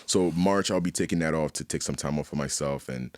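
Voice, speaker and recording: A man from Haiti telling personal stories as an interview guest in a casual, candid, animated way, often checking in with "you know". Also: a bass voice, a little fast, dry recording. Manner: animated, repeats "you know what I mean". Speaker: podcast guest, artist.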